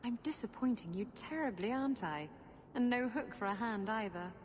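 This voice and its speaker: A woman's voice: on the high side, lively intonation, normal speed, a little hiss or hum, close to an everyday voice. A woman playing a worldly, amused female pirate in a video game, teasing playfully with affectionate endearments. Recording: long hall reverb, music behind.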